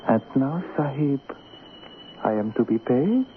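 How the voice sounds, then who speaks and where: An actor playing a ruthless, greedy Indian thief and killer in an old-time radio drama, intense, hushed and commanding. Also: male voice, mid-range voice, sing-song, a little slow. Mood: wary, bargaining. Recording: noisy, narrow phone-like old radio recording.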